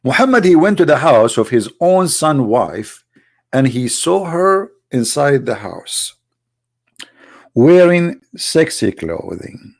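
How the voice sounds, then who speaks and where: A man's voice: very animated, slow pace, creaky, no room sound. Mocking male polemicist with a cold. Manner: mocking, rhetorical, swings between loud emphasis and low asides. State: slight cold. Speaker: host of a religious debate channel. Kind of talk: polemical livestream talk.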